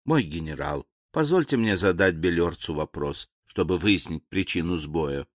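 A man voicing a composed, respectful servant who speaks softly and calmly, in an audiobook story. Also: deep voice, moderate pace, no room sound, studio quality, voice pushed down. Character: calm, deferential male servant, quietly clever.

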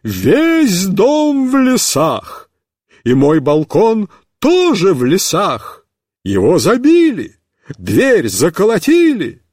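A man voicing a fussy, indignant middle-aged woman neighbor with a shrill, squealing voice and drawn-out, outraged exclaiming. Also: huge pitch swings, darkish tone, dry recording, clean studio sound, full character voice.

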